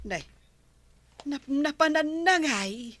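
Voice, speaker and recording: A woman's voice: high voice, animated, slow, fairly bright tone, exaggerated voice. A woman playing a doubting daughter reasoning with her mother, with an Ilocano accent, in a radio comedy drama.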